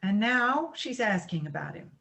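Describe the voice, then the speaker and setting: Actress doing a coaxing peacemaker lady in a family stage drama, tentative, very lively up n down voice. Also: medium pitch, moderate pace, gravelly, full character voice. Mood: placating, concerned. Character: conciliatory, gently meddling woman, family peacemaker. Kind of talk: staged play reading.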